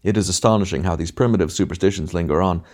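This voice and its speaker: A man voicing a pompous, cultured gentleman who lectures with smug optimism in an audiobook. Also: deep voice, fast. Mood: smug, lecturing.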